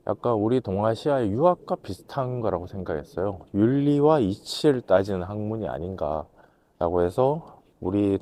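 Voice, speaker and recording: A man with a voice hoarse from a cold, giving a measured, reflective talk to camera, pausing to think as he explains. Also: on the low side, dark tone. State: has a cold. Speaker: host, management consultant lecturer.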